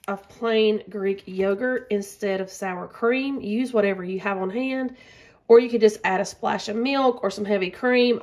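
A woman, chatting casually as she cooks in her home kitchen. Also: medium pitch. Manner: chatty, enthusiastic, says y'all often. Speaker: cooking vlogger. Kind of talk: casual cook-along chat.

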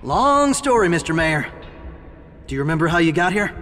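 An actor playing a cocky, youthful, wisecracking superhero in a video game, flippant and teasing. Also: male voice, on the high side, sing-song, moderate pace. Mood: casual. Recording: loud game music behind.

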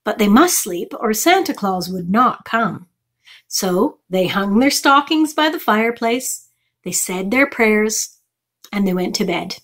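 A woman's voice: mid-range voice, expressive, unhurried, fairly bright tone. A middle-aged woman reading a children's chapter book aloud as a storytime reader. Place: small furnished room indoors.

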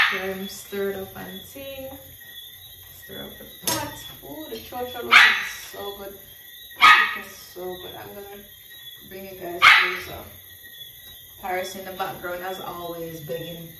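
A woman's voice: mid-range voice, measured pace, far from the mic, heavy background noise. Laid-back Jamaican woman, echoey kitchen. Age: young adult. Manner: relaxed, chatty, laughing often. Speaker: cooking vlogger. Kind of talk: casual cooking vlog chat. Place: bare concrete home kitchen, indoors. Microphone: camera on tripod across the room. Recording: echoey room, voice from afar, loud music. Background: loud background music.

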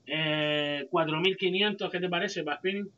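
A man's voice: high voice, moderate pace, sharp tone. Chatty man on a lo-fi video call. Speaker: fishing livestream co-host. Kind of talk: casual chat on a video call. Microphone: headset on a video call. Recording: dull lo-fi call audio.

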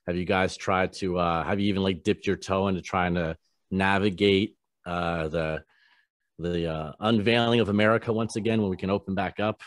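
A man in his forties, a radio host casually interviewing a musician over a video call, with halting questions full of fillers. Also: deep voice, flat delivery, no room sound, studio quality. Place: home studio, posters on wall. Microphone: large studio microphone, webcam.

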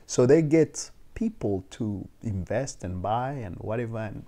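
Twenties male interviewee, warm low timbre, reflective and halting; recorded on a lapel mic in a home. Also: expressive. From South Africa. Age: 20s. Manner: thoughtful, with frequent restarts and soft laughs. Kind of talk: casual sit-down interview. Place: living room, seated on leather couch.

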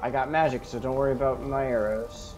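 A man's voice: mid-range voice, fairly even intonation, fairly quick, muffled recording. A man giving in-character gameplay commentary as he roleplays an adventurer in a video game. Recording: voice over game music. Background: video game music.

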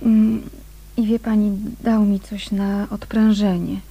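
An actress in a radio drama playing a nervous, gossipy woman with a hesitant, breathy, confiding manner. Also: medium pitch, little pitch movement, slow pace, dull audio, exaggerated voice.

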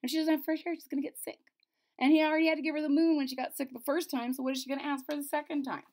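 A woman's voice: high-pitched, level tone, racing, a little mellow. A middle-aged woman reading a children's story aloud with dramatic, emphatic expression. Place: small room indoors.

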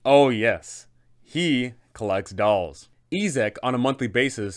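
A man in his thirties hosting a tabletop game guide, telling the story in an emphatic, deliberate way. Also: medium pitch, a bit bright. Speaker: host and game master giving advice.

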